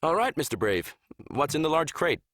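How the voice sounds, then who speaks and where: A man playing the polite but firm pilot of a small transport ship in a visual novel game, measured and businesslike. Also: on the high side, very animated, fairly bright tone, no echo, studio quality. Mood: polite, formal.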